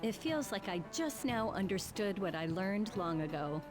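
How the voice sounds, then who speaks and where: A woman's voice: mid-range voice, very animated, moderate pace, lightly acted. A woman playing a downbeat school teacher in a video game, casual and matter-of-fact. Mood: musing. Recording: music behind the voice.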